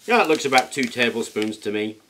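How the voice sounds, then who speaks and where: A man's voice: medium pitch, animated, a little fast, pushed and bright, slight background noise. A middle-aged man chatting casually as he explains a project, self-deprecating and hands-on, recorded in an indoor workshop. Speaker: workshop video host. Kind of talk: casual demonstration.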